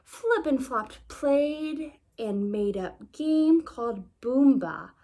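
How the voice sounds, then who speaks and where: Slow, animated, high-voiced woman storyteller. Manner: expressive, deliberate phrasing for young children. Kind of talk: children's read-aloud narration.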